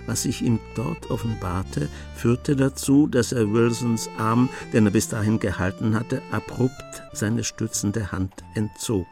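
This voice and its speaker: A man narrating a crime comedy radio play as a composed storyteller with dry, understated wit. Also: lowish voice.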